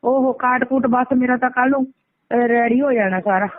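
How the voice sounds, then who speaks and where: A woman's voice: a little high, normal speed. A woman with a Malwa Punjabi accent talking casually and assertively on a phone call, insisting on being heard. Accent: Punjabi, Malwa region. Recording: noisy, tinny phone call recording.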